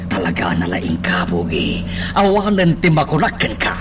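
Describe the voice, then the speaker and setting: Agitated Ilocano man with swooping pitch. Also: huge pitch swings, moderate pace. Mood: agitated, sneering. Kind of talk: Ilocano radio drama acting. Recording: distant mic with clear background noise.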